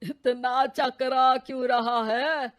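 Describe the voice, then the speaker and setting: A woman voicing a grumpy old father in a cartoon story, scolding in a slow manner. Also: sits high, fairly even intonation, lo-fi sound.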